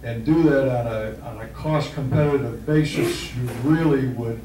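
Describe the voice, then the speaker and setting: A man speaking at a public meeting, halting and hesitant, restarting his sentences. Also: medium pitch, dark tone, slight background noise. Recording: echoey hall, far mic.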